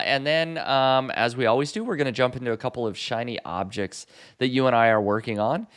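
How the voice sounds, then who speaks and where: A middle-aged man hosting a casual podcast chat on a boom mic, relaxed and easygoing, with frequent "uh" and "um" fillers. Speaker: podcast host. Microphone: studio boom mic.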